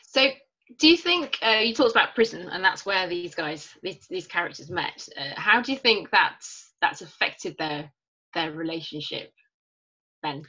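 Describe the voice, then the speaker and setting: A woman's voice: on the low side, expressive, moderate pace, sharp tone, slightly rough, highs cut off. A woman interviewer putting casual questions to her guests over a remote call. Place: remote lockdown recording.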